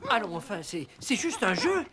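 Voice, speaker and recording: A harried, middle-aged cartoon father. His delivery swings widely in pitch as he frets and scolds in an exasperated, flustered way. Music plays clearly behind him. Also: huge pitch swings, normal speed. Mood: flustered, apologetic. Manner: scolding, flustered, hesitant when searching for words. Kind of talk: French animated film dub.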